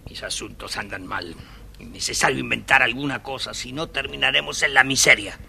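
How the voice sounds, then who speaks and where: A man's voice: medium pitch, brisk, creaky, bright, forceful tone, soft background music, full character voice. An actor playing a harsh, scolding old artisan father in a children's audio story. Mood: worried. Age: older. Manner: blustering, scornful, exclamatory. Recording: old children's record with audible background noise.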